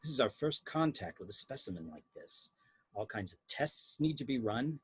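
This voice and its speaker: Man as a husky, clinical science officer. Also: medium pitch, big pitch swings, normal speed. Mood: insistent. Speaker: actor reading science officer in table read. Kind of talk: amateur script table read.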